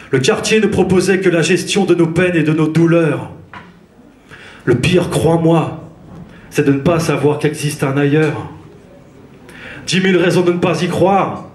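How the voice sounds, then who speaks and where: A man from France performing slam poetry on a theatre stage, with a rhythmic, intense spoken-word delivery. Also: on the high side. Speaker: slam poet. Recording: roomy theatre echo, live.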